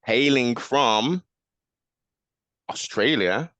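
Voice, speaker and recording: A man's voice: medium pitch, very slow, fairly bright tone, no echo. A man hosting a conversational sports interview with theatrical, formal flourishes and an encouraging way of talking. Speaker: sports show host.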